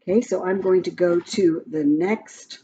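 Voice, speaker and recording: A woman reading a rhyming picture book aloud to young children in an expressive, sing-song way, with counting and little asides. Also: fairly low pitch, measured pace, slight background noise. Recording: muffled lo-fi audio with background music. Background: music from a picture-book app.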